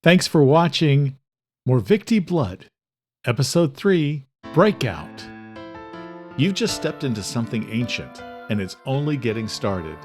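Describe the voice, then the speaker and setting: A man reading scripted thriller narration in a measured, deliberate, dramatic storytelling style. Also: mid-range voice, sing-song, darkish tone, faint music behind. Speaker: author and audiobook narrator.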